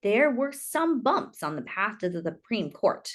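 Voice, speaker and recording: A woman reading a children's picture book aloud expressively, with dramatic, deliberate phrasing and drawn-out emphasis. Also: medium pitch, big pitch swings.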